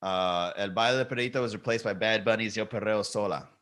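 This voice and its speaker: Male comedy podcast host, bright forceful voice, animated riffing, some swearing. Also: on the low side, quick pace. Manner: animated, swearing, rhetorical questions. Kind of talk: comedic riffing and lyric reading.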